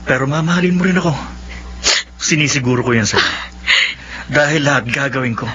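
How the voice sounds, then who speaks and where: An actor playing an insistent fiancé who pushes a ring on an unwilling bride in a radio drama. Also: male voice, medium pitch, a little fast, sharp tone, slight background noise, natural voice. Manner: insistent, eager. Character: pushy young fiancé, smug and insistent. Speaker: Cesar, the unwanted fiancé. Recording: muffled, distant lo-fi audio.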